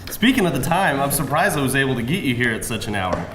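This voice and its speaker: An actor playing a courteous, chatty job interviewer eager to charm, in a live screenplay table read, insistent and rather formally polite. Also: male voice, mid-range voice, big pitch swings, fast talker, a bit bright. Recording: echoey live room, faint noise.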